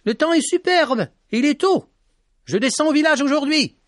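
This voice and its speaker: A man voicing Petit Jean, an impulsive young peasant, in a high, strained voice while reading his storybook aloud. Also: lively intonation, normal speed, no room sound, clean studio sound. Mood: eager. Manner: high strained pleading and shouting.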